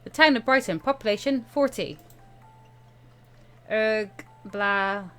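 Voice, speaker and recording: A woman's voice: mid-range voice, takes it slowly, fairly bright tone, clear, resonant tone. A woman voicing a young female student in a visual novel, flippant, easily bored and flustered, with a hesitant, whiny, rambling manner. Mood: exasperated. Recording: music behind the voice.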